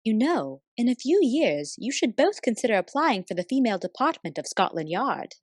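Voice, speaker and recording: A woman playing a loyal, admiring assistant to a lady detective, narrating a period radio mystery in formal, expressive storytelling. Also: a little high, moderate pace, breathy edge, dry recording, clean studio sound. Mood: warm curiosity.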